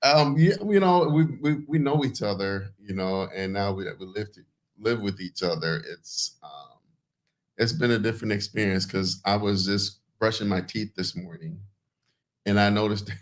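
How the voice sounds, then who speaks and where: A man from a Caribbean background chats with his wife on a podcast. His voice sits fairly low, and his manner is relaxed and teasing, with a lot of laughter. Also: normal speed. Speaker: podcast guest, host's husband. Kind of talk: casual chat.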